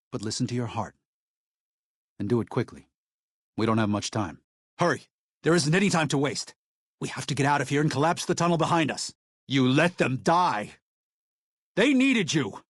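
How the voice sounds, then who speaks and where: A man playing an earnest, weary missionary medic in a video game, protective and anxious yet firm, speaking in a measured, serious, moralizing way. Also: medium pitch, slightly rough, no room sound, clean studio sound. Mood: pleading, then urgent, anguished. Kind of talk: video game character dialogue.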